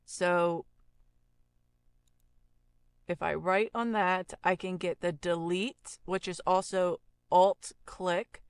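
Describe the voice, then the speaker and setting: A woman hosting an informal audio software tutorial, explaining step by step in a conversational, unhurried way. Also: fairly low pitch, fairly even intonation, clear, resonant tone, no room sound. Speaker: audio effects tutorial host, voice-over artist.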